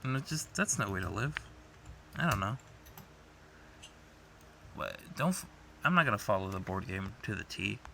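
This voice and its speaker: A man's voice: fairly low pitch, very animated, normal speed, creaky, long pauses, bright, forceful tone, slight background noise. A young adult man co-hosting a podcast in casual, argumentative banter, swearing freely as he talks. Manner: profane, argumentative. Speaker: podcast co-host.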